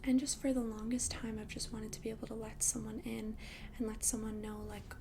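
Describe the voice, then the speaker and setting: A woman voicing a friendly, considerate young vampire in a chatty ASMR roleplay, speaking in a soft, breathy half-whisper. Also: on the high side, little pitch movement, a little fast, slight background noise, close to an everyday voice. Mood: tender, confiding. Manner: chatty, hesitant, reassuring, casual. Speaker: ASMR performer.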